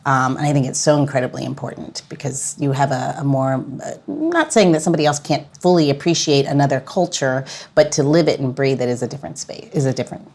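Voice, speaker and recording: A woman with a low-pitched voice hosts a podcast. She talks and puts questions in a casual, conversational interview style. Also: fast talker, few pauses, darkish tone. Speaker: podcast host.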